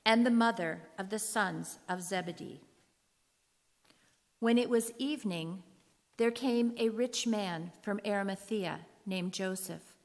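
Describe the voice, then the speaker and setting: A woman narrating scripture solemnly from a script, in a large reverberant room. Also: mid-range voice. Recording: echoey hall with long reverb.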